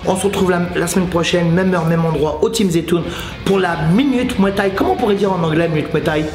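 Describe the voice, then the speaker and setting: A man's voice: high-pitched, a little fast, roomy sound, music in the background. A man, giving informal, joking motivational advice as a Muay Thai coach and video host. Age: late 50s. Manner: joking, clownish, colloquial.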